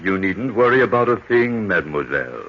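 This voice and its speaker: A self-important lawman speaks in a deep voice with wide, theatrical swings of pitch. He is pompous and deliberate, in a noisy vintage radio drama. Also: very animated. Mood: pompous assurance. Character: self-important male French policeman, pompous but loose-lipped. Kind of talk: old-time radio drama acting. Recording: muffled, noisy old radio recording.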